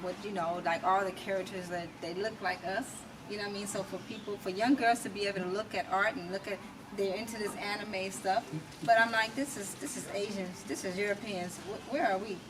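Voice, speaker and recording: A middle-aged American woman talking emphatically and at length as a guest in a conversational interview. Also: medium pitch. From United States. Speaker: interview guest, massage therapist and music artist. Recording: distant mic, clearly noisy background.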